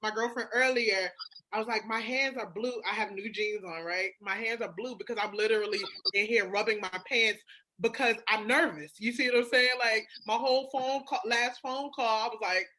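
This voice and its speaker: A woman's voice: on the high side, normal speed, bright, forceful tone, slight background noise, rough recording. A woman chatting casually and candidly, emphatic and swearing freely, often repeating phrases for emphasis. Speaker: podcast co-host. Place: phone call.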